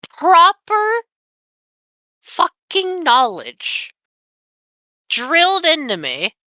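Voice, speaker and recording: A nineteen-year-old woman telling a painful personal story on a podcast, candid and sweary with heavy pauses. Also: sits high, bright, forceful tone, dry recording. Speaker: podcast guest.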